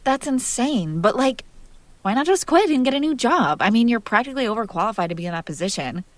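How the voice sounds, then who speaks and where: A woman playing a jealous, possessive and fiercely protective young woman in an intimate roleplay audio drama, speaking close to the mic in emphatic, halting bursts. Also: fairly high pitch, lively intonation, dry recording, close to an everyday voice. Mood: shocked disbelief. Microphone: close microphone, dry sound.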